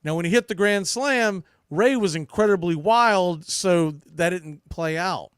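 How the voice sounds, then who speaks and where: A man's voice: sits high, a little slow, smooth, no room sound, studio quality. A man hosting a sports podcast, giving opinionated, unscripted baseball commentary in a blunt, emphatic way, often restarting and repeating phrases.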